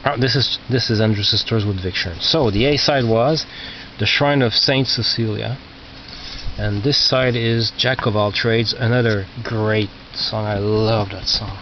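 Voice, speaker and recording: A man who is not a native English speaker, casually talking through his collection as a record collector, halting and muttering as he reads out labels, on a cheap video camera microphone. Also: on the low side, fairly even intonation. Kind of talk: casual narrated show-and-tell of collection. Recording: muffled cheap video camera, loud noise.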